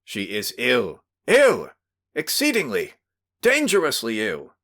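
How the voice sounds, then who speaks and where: A man voicing a stern, angry gentleman who shouts orders in a storybook reading. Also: on the low side, animated, a little slow. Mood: shouting. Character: angry, commanding middle-aged gentleman.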